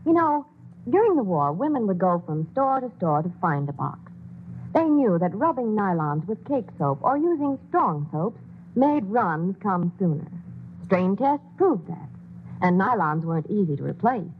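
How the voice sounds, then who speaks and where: A woman's voice: medium pitch, big pitch swings, normal speed, slight background noise. A woman giving a chatty, persuasive scripted ad spot on an old radio show, heard as a tinny broadcast. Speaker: commercial spokeswoman.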